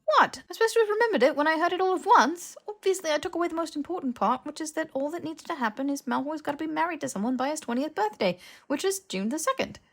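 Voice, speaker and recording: A woman's voice: high-pitched, lively intonation, fast talker, hardly any pauses, voice pushed up. A woman plays a young wizard lad in an audiobook story. He blurts things out, protests and stumbles over his words, with a flippant, defensive edge. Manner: stammering, protesting. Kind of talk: fiction audiobook character voice.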